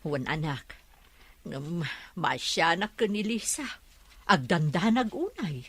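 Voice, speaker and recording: A woman with an Ilocano accent playing a caring, anxious market-vendor mother in a radio drama, soothing and expressive. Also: on the low side, takes it slowly, husky, no echo, heavily acted. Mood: tired, worried.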